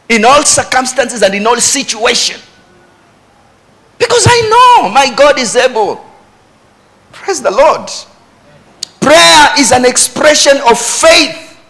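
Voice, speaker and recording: A man's voice: extremely high pitch, gravelly, sharp tone. A middle-aged man with a Kenyan accent preaching a church sermon, emphatically repeating key phrases, on a handheld microphone. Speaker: pastor. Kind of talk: animated church sermon with Swahili asides. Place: church stage before a congregation.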